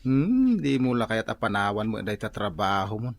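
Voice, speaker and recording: A man's voice: on the low side, sing-song, moderate pace, noisy background. A man acting a teasing, easygoing workmate in a comedy radio drama, bantering and exclaiming. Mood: nagging, friendly.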